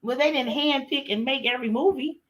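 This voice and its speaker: A woman co-hosting a podcast, calmly and persistently pressing her rebuttals. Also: high-pitched, a little fast. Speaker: podcast co-host. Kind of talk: casual debate.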